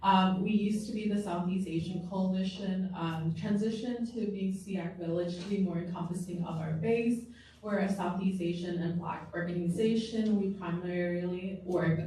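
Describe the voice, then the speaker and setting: A young woman from Southeast Asia, a community organizer, talking in a relaxed way on a panel with many fillers. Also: lowish voice, a little hiss or hum. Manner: relaxed, lots of "um" and "y'all". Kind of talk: casual panel introduction. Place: large room at a public forum. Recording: echoey room, distant mic.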